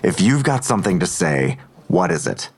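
A hard-nosed male detective in an audio drama. He is deep-voiced, curt and dry, giving sardonic, evasive answers with open defiance. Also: big pitch swings, moderate pace, husky, heavily acted. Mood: guarded, defiant.

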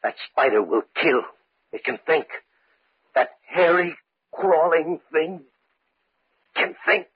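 Husky, nervous scientist, tinny radio. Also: male voice, on the high side, expressive, no echo, exaggerated voice. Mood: hushed dread. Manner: halting, brooding, dramatic pauses. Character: nervous male scientist with a dread of spiders. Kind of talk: old-time radio horror acting. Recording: thin, phone-like vintage radio recording.

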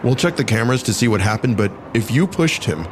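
An actor playing a concerned, steady police officer in a naturalistic audio drama, plain-spoken and a little halting. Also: male voice, medium pitch, close to an everyday voice. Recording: clear outdoor traffic and vehicle noise. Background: cars and urban outdoor ambience.

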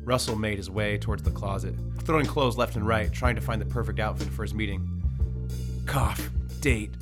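A man's voice: fairly low pitch, normal speed, music in the background. A man hosting a podcast in a casual, joking style. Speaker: podcast host. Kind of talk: casual banter and comedic dramatic reading.

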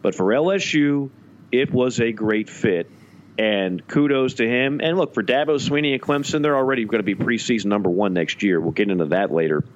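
A man's voice: medium pitch, fairly quick, lo-fi sound. A man co-hosting a podcast, chatting casually and animatedly with frequent restarts. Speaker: podcast co-host. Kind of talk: casual sports talk.

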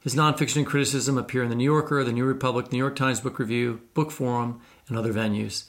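A man's voice: medium pitch, fairly even intonation, quick pace. A man hosting a discussion podcast, introducing guests and posing questions in a presenter's style. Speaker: podcast co-host.

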